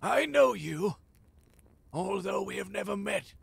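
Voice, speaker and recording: Frail cursed seer, high-pitched, husky, slow, portentous, theatrical, fantasy audio drama. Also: male voice, lively intonation, no room sound, full character voice. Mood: grave, secretive. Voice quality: gravelly, husky. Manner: theatrical, portentous, archaic phrasing. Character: cursed, frail male philosopher and seer, ominous and solemn.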